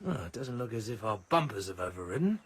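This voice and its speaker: An actor playing a suave, cool British secret agent in a radio play, with a wry, teasing, urbane manner. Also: male voice, lowish voice, very animated, fast talker, husky, heavily acted. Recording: radio drama with music behind.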